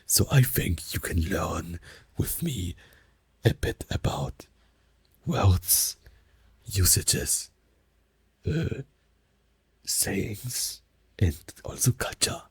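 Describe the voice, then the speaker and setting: A man with a German accent, softly whispering and gently rambling as he teaches in an ASMR roleplay lesson on a binaural mic. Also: deep voice, big pitch swings, very slow, pauses often, breathy edge, no echo.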